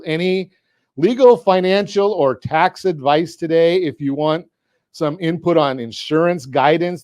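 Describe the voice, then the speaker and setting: A man's voice: on the high side, a little mellow, dry recording, studio quality. A man hosting a finance talk radio show, speaking with energy and cracking jokes as he asks his guests leading questions. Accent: Southern Californian. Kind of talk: talk radio with a sponsor read. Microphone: studio mic.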